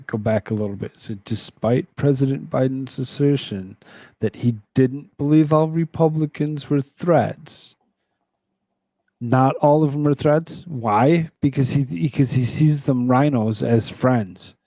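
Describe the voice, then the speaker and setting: Mellow male political show host, dry sound. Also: medium pitch, measured pace, dry recording. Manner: rambling, conversational. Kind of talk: casual news commentary, reading articles aloud and reacting.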